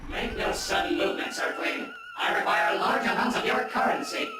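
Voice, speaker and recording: Over the top alien supervillain, super pompous and theatrical, huge echo, music behind him. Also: male voice, very high voice, huge pitch swings, normal speed, raised voice, exaggerated voice. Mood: menacing, demanding. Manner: pompous, grandiose declaiming. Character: bombastic theatrical male supervillain posing as a menacing space alien. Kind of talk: video game cutscene acting. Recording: echoing, distant voice over music and crowd.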